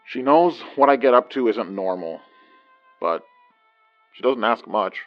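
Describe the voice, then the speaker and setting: An actor plays a reserved, serious man in an audio drama. He talks at a middling pitch with casual, dry understatement, slightly muffled over music. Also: animated, unhurried, lightly acted. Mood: dry, fond. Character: reserved, serious grown son, dryly good-humoured.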